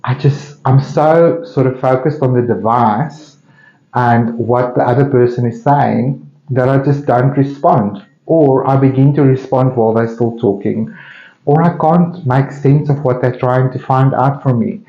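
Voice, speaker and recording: Male painter, South African Afrikaans accent, relaxed dark tone, unhurried casual musing, recorded in a roomy, slightly dull space. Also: medium pitch. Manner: unhurried, thinking aloud with pauses. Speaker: painter hosting an open studio session.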